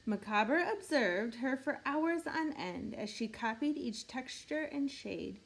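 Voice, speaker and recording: Lady doing a kids rhyming story read-aloud, voice on the high side, expressive and measured. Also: rough recording. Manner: measured, storytelling delivery addressed to children. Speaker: storybook reader.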